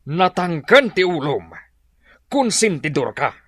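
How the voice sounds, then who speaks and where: A man's voice: on the high side, sing-song, takes it slowly, a bit bright, husky, dry recording, studio quality, heavily acted. A man playing a scornful middle-aged husband scolding his wife in a drama, with an Ilocano Filipino accent. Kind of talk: Ilocano audio drama acting.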